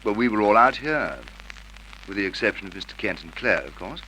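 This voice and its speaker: Precise male witness, low-pitched, earnest, faintly noisy old radio crime recording. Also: lively intonation, fairly quick, pushed and bright. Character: male film actor, accusing murder witness. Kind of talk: Golden Age radio drama acting.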